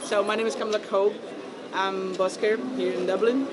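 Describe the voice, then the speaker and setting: Brazilian woman over loud traffic. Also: medium pitch, steady tone, normal speed. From São Paulo, Brazil. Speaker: street busker. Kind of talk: casual street interview. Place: outdoors on city street. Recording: loud traffic on an outdoor street.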